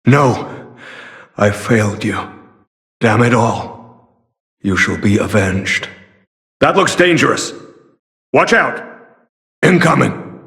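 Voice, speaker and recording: An actor voicing a grave, courteous, weary warrior in a video game, with formal, measured delivery. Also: male voice, mid-range voice, lively intonation, creaky, fairly bright tone, full character voice. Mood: bitter, grim, then urgent battle calls. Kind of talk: dramatic video game voice acting.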